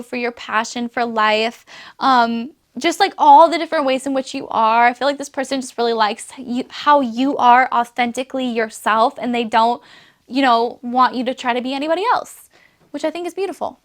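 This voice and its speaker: A woman giving a casual, chatty tarot reading, losing her voice a little, with frequent fillers and laughs. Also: high-pitched. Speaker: tarot reader.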